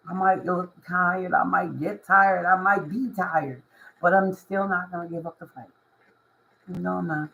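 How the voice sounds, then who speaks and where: Woman, raspy hoarse voice, casual spiritual vlog, emphatic, repetitive. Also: fairly low pitch, poor audio. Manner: emphatic and repetitive, constant "you know what I mean". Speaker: spiritual reader and life coach. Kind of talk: casual motivational monologue to camera.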